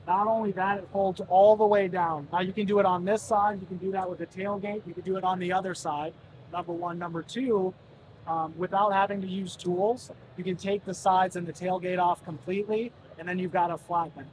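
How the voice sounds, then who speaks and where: A man's voice: fairly even intonation, a little fast. A man in his thirties, a product representative giving a polished demonstration of a utility vehicle in a large indoor expo hall. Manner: polished, explanatory sales pitch. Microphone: camera mic at close range. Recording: crowd noise of a busy expo hall.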